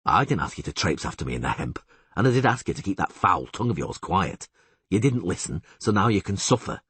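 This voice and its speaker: Audiobook hero: gruff male warrior read by a male narrator, low register, cold, curt, commanding. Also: sing-song, brisk, a bit bright, no echo, heavily acted. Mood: stern, scolding. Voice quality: low, growling. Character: gruff, irritated, stern male monster hunter. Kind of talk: audiobook character acting.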